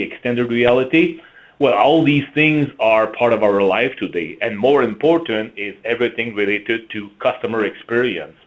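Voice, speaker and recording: A man with a French-Canadian accent presenting a business webinar, halting with frequent fillers and throat clearing. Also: fairly low pitch, rough recording. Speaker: marketing executive presenting a webinar.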